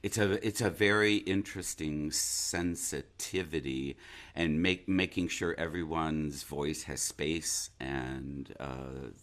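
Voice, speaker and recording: A man speaking reflectively as an interview guest, thoughtful and halting as he searches for his words. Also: rumbling low, fairly bright tone. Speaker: interview guest, stage actor.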